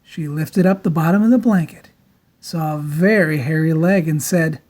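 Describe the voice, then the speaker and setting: A woman narrating a children's storybook aloud in a dramatic, theatrical style with deliberate emphasis. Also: deep voice, dark tone.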